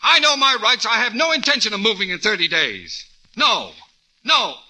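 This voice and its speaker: A man's voice: sits high, big pitch swings, normal speed, pushed and bright, full character voice. An actor playing an exasperated ad man and new homeowner in a 1940s radio play, full of sardonic quips.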